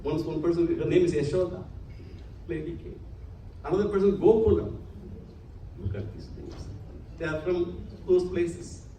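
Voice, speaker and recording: A man's voice: on the high side, lively intonation, moderate pace, long pauses, warm tone. A man giving an earnest religious lecture to a congregation, using many Sanskrit terms. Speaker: spiritual lecturer. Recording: echoey hall, distant mic, faint hum.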